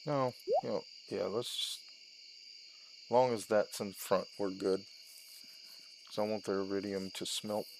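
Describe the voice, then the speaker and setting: A woman giving casual let's-play commentary as a gaming channel host, thinking aloud in an unhurried way. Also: extremely low pitch, fairly even intonation, noisy background. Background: game music and sound effects.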